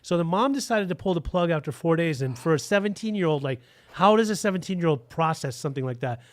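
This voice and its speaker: A man who was born in Germany and raised in Utah, telling his recovery story on a video call with an earnest, halting delivery. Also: fairly high pitch, animated. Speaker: guest sharing recovery story. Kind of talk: personal testimony.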